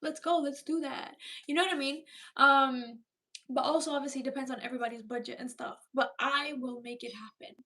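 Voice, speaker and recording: Bright high-voiced chatty young woman. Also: a little fast. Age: 20s. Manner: animated, chatty, repeats herself for emphasis. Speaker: livestream host chatting with viewers. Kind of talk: casual chat.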